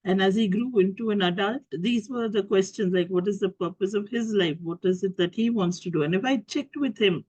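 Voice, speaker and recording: A woman's voice: medium pitch, darkish tone, breathy edge, no room sound. A middle-aged Indian woman with a cold, giving a talk over a video call, stopping and restarting often with fillers. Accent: Indian English. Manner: halting, many fillers and restarts. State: has a cold and cough. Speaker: guest speaker. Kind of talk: talk to an online group. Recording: dull lo-fi call audio.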